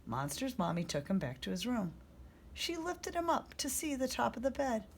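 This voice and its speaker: A woman reading a children's story aloud as a storyteller, in expressive narration. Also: a little high, normal speed, gravelly, slight background noise.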